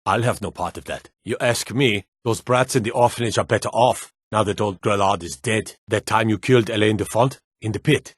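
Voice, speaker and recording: A man's voice: lowish voice, fairly quick, hardly any pauses, breathy edge, dry recording, studio quality. An actor playing a wary, plainspoken male city guard in a fantasy video game, with a stern, folksy way of talking. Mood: defensive. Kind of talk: scripted fantasy game dialogue.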